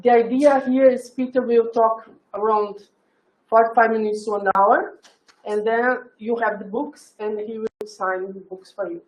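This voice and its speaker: A woman introducing a guest speaker at an event, searching for words with many pauses and fillers. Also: on the high side, steady tone, darkish tone. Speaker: event host. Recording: echoey lecture room.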